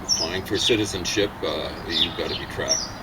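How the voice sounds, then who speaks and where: A man from Africa talking casually and insistently, rambling with many pauses and fillers. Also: sits low, heavy background noise. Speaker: partner in a home vlog conversation. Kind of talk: casual opinionated chat.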